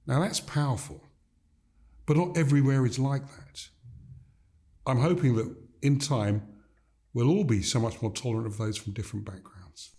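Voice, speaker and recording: An older man from Gambia speaks as a guest in an interview, measured and emphatic. He stacks his points in repeated phrases. His voice sits mid-range and sounds mellow and warm. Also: mid-range voice. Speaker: guest, leadership coach. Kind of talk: reflective interview conversation.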